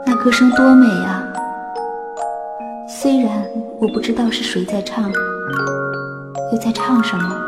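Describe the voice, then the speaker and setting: A woman playing a wistful, lovesick young sock with a soft, dreamy, tender manner in a Chinese radio drama. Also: medium pitch, roomy sound, natural voice. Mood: wistful longing. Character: wistful young female left-foot sock, lovesick and innocent. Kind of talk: Chinese radio drama acting with inner monologue.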